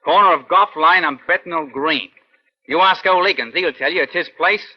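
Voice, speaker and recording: An actor playing a sullen, defiant young street tough in a 1950s radio crime drama, with clipped, slangy film-gangster talk. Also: male voice, on the high side, normal speed, natural voice. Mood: cocky, evasive. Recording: dull old radio recording.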